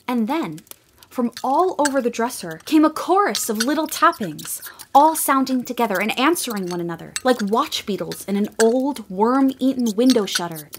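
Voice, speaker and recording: A woman narrating a storybook audio drama in a gentle, measured way. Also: sits high.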